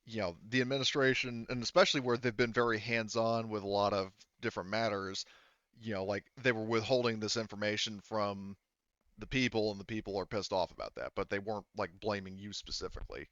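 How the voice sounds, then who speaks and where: A man with a low, smooth and clear voice acts as game master for a tabletop session. He explains casually and haltingly, circling back to rephrase. The recording is dry and a little dull. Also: fairly even intonation, a bit bright, no echo.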